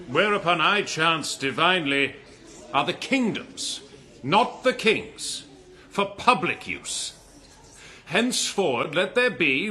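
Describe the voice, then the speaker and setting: A man's voice: a little high, bright, forceful tone, heavily acted. An actor playing a noble, commanding king in a classical radio drama, declaiming archaic verse in a stately way.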